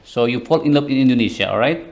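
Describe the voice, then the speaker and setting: A man hosting a podcast interview, asking questions in hesitant, halting phrasing. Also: medium pitch. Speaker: podcast host.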